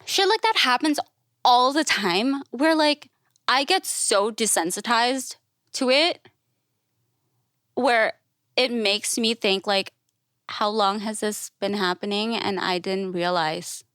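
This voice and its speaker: A woman's voice: on the high side, slow pace, fairly bright tone, no echo, clean studio sound. A woman from Thailand speaking candidly and informally as a podcast guest, telling stories and often voicing quoted remarks. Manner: informal and outspoken, with frequent "like" and acted-out quotes. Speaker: podcast guest, classical musician and educator. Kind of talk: candid conversational storytelling.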